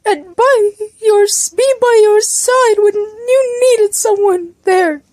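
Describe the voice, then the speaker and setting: A woman voicing a remorseful young man in an amateur story reading, halting and emotional, with a shaky, cracking voice. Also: extremely high pitch, a little mellow, dry recording, pitched above the performer's own voice, heavily acted. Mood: tearful remorse. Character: remorseful, tearful young man breaking down in apology.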